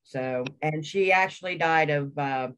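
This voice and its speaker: A woman's voice: deep voice, unhurried, fairly bright tone, smooth. A woman client giving brief, matter-of-fact answers during a reading.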